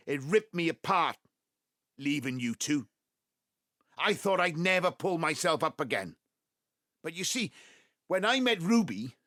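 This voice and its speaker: An actor playing an ageing Welsh patriarch in an audio drama, wounded, tender and defensive, with a halting, emotive delivery. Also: male voice, on the high side, a bit bright, no echo, clean studio sound. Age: 70s. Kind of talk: naturalistic audio drama acting.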